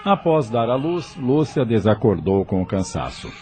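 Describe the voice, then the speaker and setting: A man's voice: lowish voice, very animated, moderate pace, over loud music. A man narrating a scripted audio novel in a dramatic storytelling manner.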